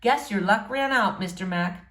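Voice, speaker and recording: A woman's voice: mid-range voice, moderate pace, a bit bright, lightly acted. A woman voicing a reluctant, wry young schoolboy in a storybook read-aloud, hesitant and understated in manner. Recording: roomy, echoing room sound.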